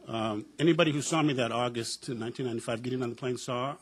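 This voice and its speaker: A middle-aged man from the United States speaks with a husky, slightly breathy voice at a pitch that sits in the ordinary middle range. He tells personal stories on a discussion panel in a reflective, wry way and leans on frequent uh and um fillers. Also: fast. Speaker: panelist, professor.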